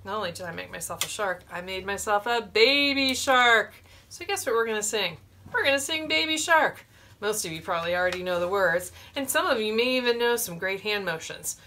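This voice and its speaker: A woman's voice: mid-range voice, lively intonation, a little fast, bright, forceful tone. A woman in her forties hosting a children's storytime, explaining a simple craft step by step from a small room. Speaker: librarian, storytime host.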